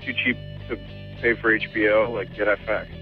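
A man as a radio guest chatting casually and haltingly over a thin phone line. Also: fairly low pitch, level tone, over music. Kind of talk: casual interview chat.

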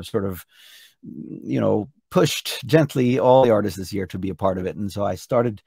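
A middle-aged man with a Norwegian accent, a bit hoarse, chatting eagerly in an interview over a video call with many restarts. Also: fairly low pitch, darkish tone, no room sound, studio quality. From Norway. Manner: chatty, enthusiastic, frequent restarts. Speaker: singer and contest entrant. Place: hotel room indoors.

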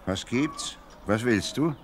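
An actor playing a humble, middle-aged farmer and worried father in an earnest, expressive Bible audio drama. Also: medium pitch, slow pace, slight background noise. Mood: wary.

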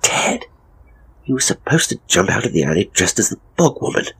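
A man's voice: on the low side, sing-song, moderate pace, a bit bright, breathy edge, exaggerated voice. A man playing a hapless, pompous middle-aged ghost tour operator in a horror audio drama, with a British accent and a grumbling, theatrical, wordy delivery. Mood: irritated. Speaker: ghost tour guide and storyteller.

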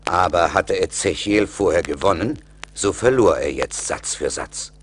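Deep-voiced male fairy-tale narrator. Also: animated, measured pace. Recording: slightly noisy 1980s vinyl record.

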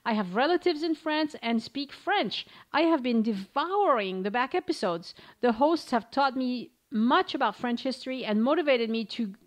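A woman hosting a travel podcast in a fairly high voice. She chats casually, laughs easily and trips over hard names while reading listener reviews. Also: animated, moderate pace, few pauses. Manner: chatty, laughs often, stumbles over names. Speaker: podcast host.

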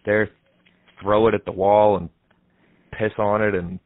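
A young man making a deadpan prank call in a casual, halting way, heard through muffled, narrow audio. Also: on the low side, dry recording. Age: young adult. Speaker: prank caller, comedy show host. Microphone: headset microphone.

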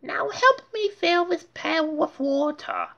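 An excitable small girl from a storybook, very high in pitch. She is cheeky and insistent, the little sister of the house. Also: takes it slowly, lo-fi sound, exaggerated voice. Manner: insistent, crying out. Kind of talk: storybook character voice.

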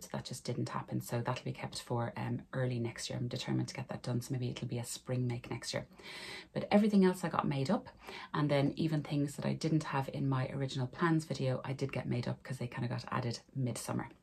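Hoarse low-voiced woman with a cold. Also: a little mellow. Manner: friendly, rambling, talks to camera while showing garments. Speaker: sewing vlogger. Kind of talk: casual chatty vlog. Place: small bedroom sewing room, indoors. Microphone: camera in front of her at desk.

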